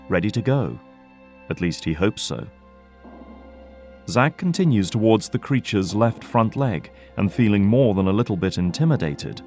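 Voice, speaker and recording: Lowish male audio drama narrator. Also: a little mellow.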